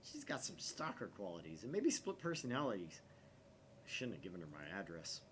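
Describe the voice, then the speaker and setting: A man's voice: low-pitched, huge pitch swings, fairly quick, a bit bright, slight background noise, heavily acted. Man voicing a paranoid, theatrical young schemer, swinging wildly. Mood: wry inner monologue. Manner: theatrical, suspicious, dramatic whispers and outbursts. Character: paranoid, melodramatic young male self-styled mad scientist. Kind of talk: visual novel voice reading.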